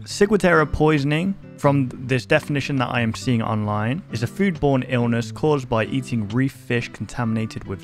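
A man hosting a reaction video, chatting and reacting aloud in an excitable, casual way. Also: medium pitch. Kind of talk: reaction commentary.

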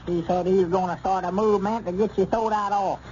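Guy doing a high folksy old southern storekeeper, dim n stubborn, old radio comedy, muffled n hissy. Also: steady tone, darkish tone, close to an everyday voice. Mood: insistent. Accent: rural Southern US. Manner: folksy, literal-minded, halting. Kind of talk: 1940s radio comedy acting.

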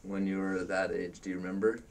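Deep-voiced male interviewer. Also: level tone, a little fast. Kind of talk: interview questions.

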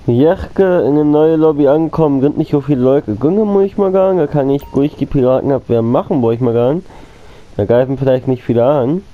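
Rambling German gamer with a cold. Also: male voice, mid-range voice, mellow. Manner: rambling, repeats himself, addresses viewers as friends. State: has a cold, coughing. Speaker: gaming channel host. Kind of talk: casual gameplay commentary.

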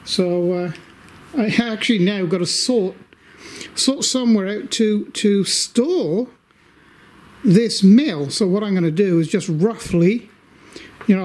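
An older man giving a casual update from his home workshop, talking slowly with lots of ums and restarts while holding the camera. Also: sits high, darkish tone. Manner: slow, rambling, many fillers. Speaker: workshop video maker.

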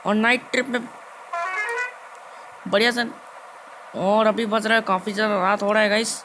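Male gaming host, high pitch, casual commentary, repeated phrases, engine noise behind the voice. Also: steady tone, normal speed, bright, forceful tone. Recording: noisy, vehicle engine sound behind voice.

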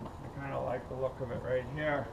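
A man's voice: medium pitch, level tone, moderate pace, vocal fry, lo-fi sound. A middle-aged man casually explaining a kayak fitting while he works outdoors, thinking aloud as he goes. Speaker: kayak fishing vlogger. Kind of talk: casual how-to narration. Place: outdoors in a gravel storage yard. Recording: loud outdoor noise from a camera mic.

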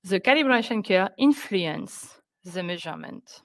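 Slow-paced woman presenting research. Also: mid-range voice, expressive, no echo. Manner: careful, halting non-native English delivery. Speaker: researcher presenting project results. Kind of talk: scientific webinar presentation. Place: indoors on a video call.

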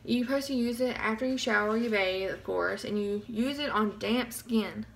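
A woman's voice: a little high, moderate pace, gravelly. Chatty woman, slightly scratchy. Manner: chatty, nervous, keeps saying y'all. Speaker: vlogger. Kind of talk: casual product review vlog. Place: small bedroom indoors. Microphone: phone camera close up.

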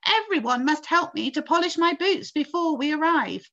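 A woman's voice: high voice, expressive, normal speed, fairly bright tone, muffled recording, pitched above the performer's own voice. A woman voicing a vain, fussy centipede in a children's storybook, exclaiming self-importantly.

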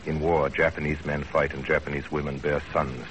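An actor playing an earnest young husband in a 1930s radio drama, speaking gravely and deliberately. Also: male voice, rumbling low, flat delivery, a bit bright. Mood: grave, wistful. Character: earnest young husband narrating his love story. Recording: noisy 1930s radio broadcast.

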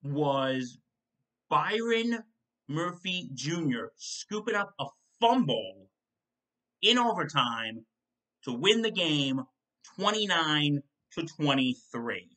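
A young man hosting a solo football postgame recap from his home kitchen, reading stat lines in quick lists and correcting himself as he goes. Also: fairly high pitch, very animated, bright, forceful tone, rough recording. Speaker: sports show host. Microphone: desk mic in front of a webcam.

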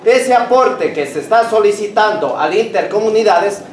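A man's voice: high voice, expressive, normal speed. A man with an Ecuadorian accent, a town councillor making a formal proposal at a meeting. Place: meeting hall, indoors. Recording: distant, echoey, noisy room.

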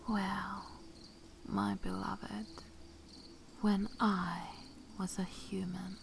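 Woman playing a vampire mistress, ASMR roleplay, breathy half-whisper, extremely slow, creaky, seductive, cruel yet loving. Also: mid-range voice, flat delivery, slight background noise, full character voice. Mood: ambitious, brooding. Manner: slow, theatrical, with long pauses.

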